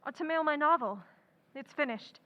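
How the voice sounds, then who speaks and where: A woman's voice: high-pitched, normal speed, dull audio, close to an everyday voice. An actress playing a passionate, headstrong young woman writer in an old-time radio drama, earnest and emphatic. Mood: brisk, insistent.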